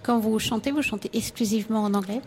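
A woman's voice: fairly high pitch, quick pace, darkish tone, a little hiss or hum. A woman interviewing a guest on the radio, asking questions in a polite, formal way.

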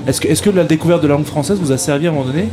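A man's voice: fairly high pitch, very fast, over music. Male interviewer with rambling questions.

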